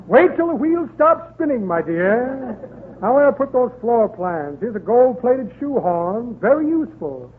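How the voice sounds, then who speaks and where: A blustery comic crook from 1930s radio, high-voiced and swooping in pitch. He drawls his mock-refined patter like a showman, through a muffled, lightly noisy broadcast. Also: sing-song, unhurried, husky, full character voice. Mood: breezy, roguish. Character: pompous chiseling crook rummaging through his pockets. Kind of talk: 1930s radio comedy acting.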